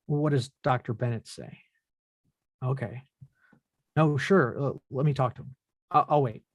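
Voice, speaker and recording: A man's voice: mid-range voice, measured pace, no echo, lightly acted. A man playing a reflective son in his late twenties in a film script table read. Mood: subdued, worried.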